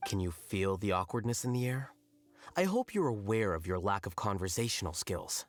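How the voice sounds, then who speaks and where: An actor playing an aloof, blunt young male scholar in a video game, deadpan and precise. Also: lowish voice, moderate pace, lightly acted. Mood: dry, cutting. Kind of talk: scripted video game dialogue.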